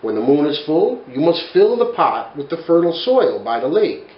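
A man voicing a wise, calm owl of the forest in a read-aloud storybook. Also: on the high side, moderate pace, reverberant, lo-fi sound, pitched above the performer's own voice. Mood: instructing.

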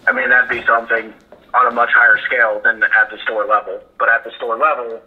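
A man on the phone for a storage company, calm and formal, sticking to the company line. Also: medium pitch, brisk, husky, phone-quality sound. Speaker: storage facility staff. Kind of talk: phone call.

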